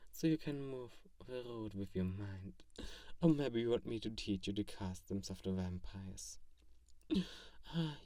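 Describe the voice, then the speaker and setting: A low, close and dry voice for a kindly vampire, played by a man in an ASMR audio roleplay. He is soft-spoken and earnest, with a theatrical, grandiose streak and a gently teasing way of talking. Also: no room sound, recorded from a distance, lightly acted. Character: kindly, theatrical, self-styled great male vampire befriending a lonely human.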